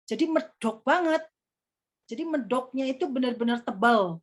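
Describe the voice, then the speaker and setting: Young woman, high-pitched, easygoing trainer with a rambling, filler-heavy delivery. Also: lively intonation, normal speed. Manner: chatty, calls herself Kakak, many fillers. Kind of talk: casual workshop teaching.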